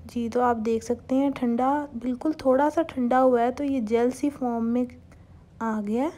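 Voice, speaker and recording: A young woman hosting a home cooking channel, calmly talking viewers through a recipe step by step and mixing English cooking terms into her speech. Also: fairly high pitch, normal speed. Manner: calm, instructive, mixes English cooking terms into Hindustani speech.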